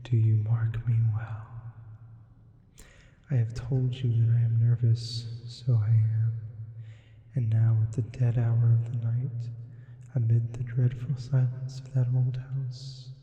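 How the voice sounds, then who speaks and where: A sinister demon, played by a man, reads a horror tale in hushed ASMR whispers. The delivery is slow and deliberate, with a very dark tone. The voice hangs in long, heavy reverb. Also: mid-range voice, little pitch movement, dull audio. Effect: added reverb. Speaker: ASMR narrator. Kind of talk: whispered ASMR reading of a Gothic horror story.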